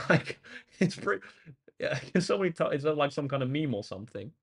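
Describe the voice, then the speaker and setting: A man chatting casually and informally to viewers on a livestream as a tutor, his voice slightly croaky and tired, speaking to them directly and thanking them. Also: on the high side, big pitch swings, brisk. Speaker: maths tutor live streamer.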